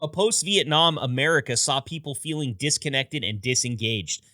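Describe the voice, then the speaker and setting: A man's voice: medium pitch, moderate pace, sharp tone, no room sound, clean studio sound. A man hosting a podcast, reading a scripted history narration in an upbeat storytelling manner with wry asides. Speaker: podcast host.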